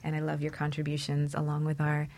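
A woman's voice: low-pitched, completely flat delivery, fast, darkish tone. A woman hosting a talk show in a conversational way, speaking as a relationship coach.

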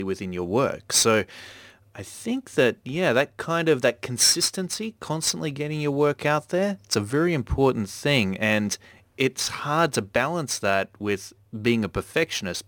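A man's voice: medium pitch, fairly bright tone, no room sound. Guy hosting a podcast, rambly and thoughtful, totally dry sound, no room. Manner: talkative, reflective, many fillers. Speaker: podcast host. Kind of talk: casual podcast chat.

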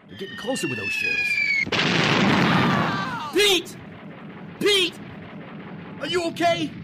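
Guy doing a gritty combative army soldier, radio drama, bossy short lines, very animated, gunfire in back. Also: extremely high pitch, background noise, heavily acted. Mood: frantic. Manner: terse, commanding, rough soldier talk. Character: gritty, combative young American WW2 infantryman. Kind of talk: radio war drama acting. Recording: loud battle effects behind the voice. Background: gunfire and artillery.

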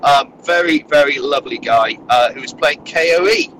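A man's voice: on the high side, normal speed, fairly bright tone, rough recording. A man with a blocked, nasal voice from a cold chats casually from his car. He rambles through fillers and restarts, and faint road noise hums beneath him. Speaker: podcast co-host recounting a wargaming tournament. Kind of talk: casual chat. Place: in a moving car.

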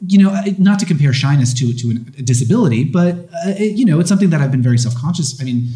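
Male panel guest, mellow tone, candid, a bit halting; recorded live on a roomy convention stage. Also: a little high, expressive. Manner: candid, self-deprecating, halting with restarts. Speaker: guest podcaster.

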